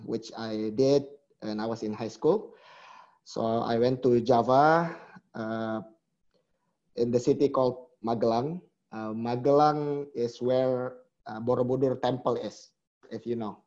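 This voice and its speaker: A middle-aged man speaks as an interview guest, thoughtful and a little halting, often restarting his sentences. His voice is warm and mellow at an ordinary pitch. The recording is dull and lo-fi. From Indonesia. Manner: reflective, hesitant with restarts. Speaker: guest, company chief executive. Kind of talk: conversational interview.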